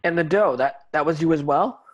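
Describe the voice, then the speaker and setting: A man's voice: on the high side, fairly quick, dull audio, natural voice. A man reading the part of an earnest teenage boy hero at a table read, urgent and clipped. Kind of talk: amateur screenplay table read.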